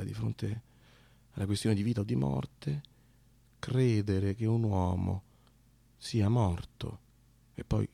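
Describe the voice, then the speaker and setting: A man narrates a personal radio monologue. His voice is creaky and close, with a dry sound, and he moves in broken phrases with theatrical pauses. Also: lowish voice, dark tone, no room sound. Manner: halting, broken phrases with dramatic pauses and repetitions. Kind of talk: theatrical autobiographical storytelling, conversational.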